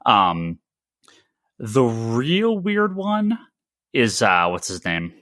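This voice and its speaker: A man's voice: mid-range voice, huge pitch swings, extremely slow, fairly bright tone, no echo, clean studio sound. An American man hosting a casual podcast discussion, giving opinions emphatically and stammering over repeated words. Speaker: podcast host; comic shop retailer.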